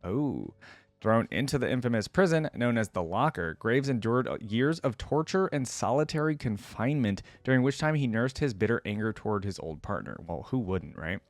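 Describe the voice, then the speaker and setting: A man's voice: medium pitch, animated, moderate pace, no echo. A man hosting a reaction video, reading game lore aloud and breaking off for casual comments.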